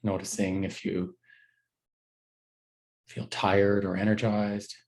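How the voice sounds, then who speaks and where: A man with a fairly low voice leads a guided body scan meditation as a teacher. He gives gentle instructions in short phrases, leaving unhurried pauses between them. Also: level tone.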